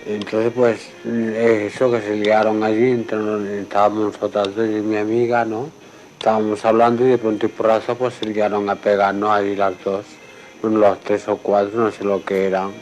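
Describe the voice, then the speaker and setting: A man's voice: fairly low pitch, darkish tone, poor audio. A male-sounding survivor, weakened, giving plain, colloquial interview testimony and repeating phrases. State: weakened, recovering in hospital.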